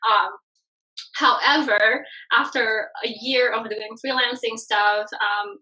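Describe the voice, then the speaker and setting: A woman from Bali, Indonesia, in her thirties, telling her story as a guest in a casual podcast interview. She talks in an animated way and laughs often. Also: fairly high pitch, slow, low-quality recording. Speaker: guest, branding expert.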